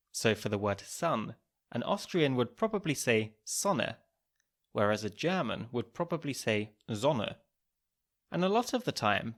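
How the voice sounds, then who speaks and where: A man hosts a podcast, reading scripted narration in a calm, measured, explanatory way. His voice sits at an ordinary middle pitch for a man. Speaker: podcast host.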